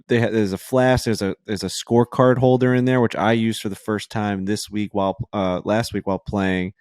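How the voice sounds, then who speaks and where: A man with a low voice, recorded dry, talks through a casual ad read on a golf podcast. He is easygoing and keeps stacking "really" and little fillers. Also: steady tone, moderate pace, a little mellow, no room sound. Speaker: podcast co-host.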